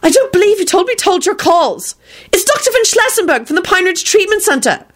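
A woman's voice: very high voice, very animated, moderate pace, voice pushed up. A woman voicing an irritable, disapproving, fussy female secretary in an audiobook, with a prim, suspicious manner. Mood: shrill, indignant.

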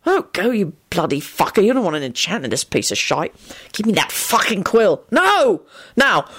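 A woman's voice: a little high, pitched above the performer's own voice. A woman voicing sweary, bickering male wizards who act mock-solemn in a story reading. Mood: mock pompous. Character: sweary, bickering marauder wizard men, mock-pompous oath makers.

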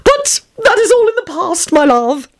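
A woman's voice: very high-pitched, sing-song, normal speed, voice pushed up, exaggerated voice. A woman voicing a haughty, cowardly, theatrical male werewolf in a story, with fussy, affected endearments and an easily offended manner. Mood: dour, flustered.

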